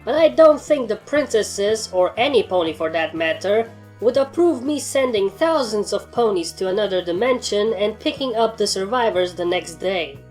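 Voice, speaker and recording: Woman as jaded pony trainer, dry, sarcastic, blunt, medium pitch, fiction reading, background music. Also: lively intonation, normal speed, a little mellow, natural voice. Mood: sarcastic, defensive. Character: blunt, sardonic, battle-hardened pony trainer.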